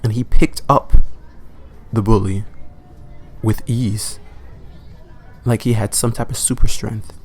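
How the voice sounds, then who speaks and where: A man's voice: on the low side, steady tone, a little hiss or hum. A man narrating a comic horror story as a casual, foul-mouthed storyteller, leaning on words for emphasis. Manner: profane, informal, drawn-out emphasis.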